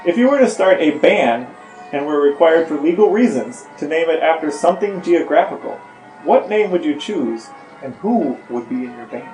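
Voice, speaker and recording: The sound is roomy and echoey. A man with a slightly high voice plays interviewer, putting playful prepared questions and bantering briefly over the answers. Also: animated, normal speed, a little mellow, faint music behind. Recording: echoey room.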